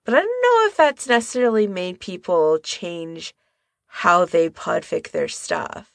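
A woman co-hosting a podcast in a casual discussion, with a creaky voice. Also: fairly low pitch, wildly swooping pitch, a little slow, no room sound. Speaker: podcast co-host.

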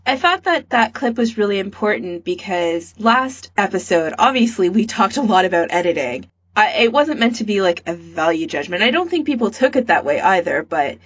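A woman's voice: medium pitch, animated, normal speed, slightly rough, muffled recording. A woman co-hosting a podcast in casual discussion. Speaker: podcast co-host.

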